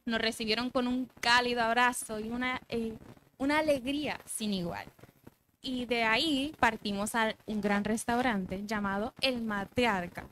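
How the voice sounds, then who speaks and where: A young woman from Puerto Rico with a Puerto Rican Spanish accent, a student presenting at a podium microphone in a lively, giggly way. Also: a little high, moderate pace, a little hiss or hum, rough recording. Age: young adult. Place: indoor university event stage. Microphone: podium microphone on a stand.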